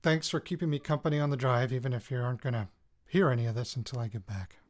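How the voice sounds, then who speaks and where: An actor playing a weary, jittery male radio reporter recording personal audio notes for a fiction podcast, in a halting, self-interrupting way. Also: medium pitch, natural voice. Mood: shaken, tired.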